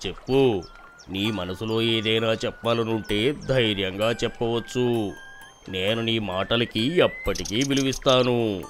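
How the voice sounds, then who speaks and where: A man voicing an authoritative male lion king in an animated fable. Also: lowish voice, unhurried, faint music behind. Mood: reassuring.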